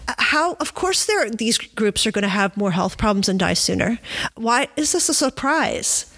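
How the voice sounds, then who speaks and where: A woman interviewing casually, thinking aloud in a halting, tentative and reflective way. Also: a little high, animated, a bit bright. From United States. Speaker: podcast interviewer.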